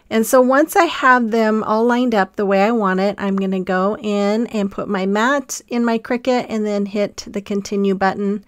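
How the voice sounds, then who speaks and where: A woman host casually narrating her craft project in a relaxed, chatty voice-over with frequent ums. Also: mid-range voice, clean tone, no room sound, studio quality.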